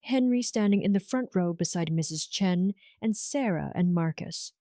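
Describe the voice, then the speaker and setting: A woman's voice: on the low side, expressive, normal speed, mellow, dry recording, clean studio sound. A woman storyteller reading a scripted romance story as narration.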